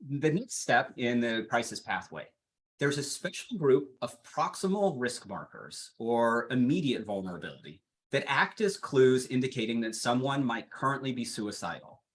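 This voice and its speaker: A man delivers a training webinar from his slides. His voice sits at an ordinary middle pitch, and he reads at a steady, even pace. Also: moderate pace. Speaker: presenter.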